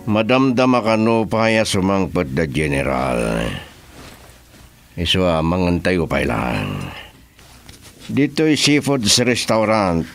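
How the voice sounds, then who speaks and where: A man's voice: low voice, sing-song, slow pace, long pauses, faint music behind, exaggerated voice. A man with an Ilocano accent playing a powerful, commanding boss in a radio serial. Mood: assured. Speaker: actor in a radio drama.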